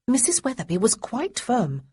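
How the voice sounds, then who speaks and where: A woman's voice: on the high side, sing-song, slightly rough, pitched above the performer's own voice, exaggerated voice. A woman voicing Honoria, a fretful young lady. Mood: anxious. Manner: fluttery, quick, softly anxious.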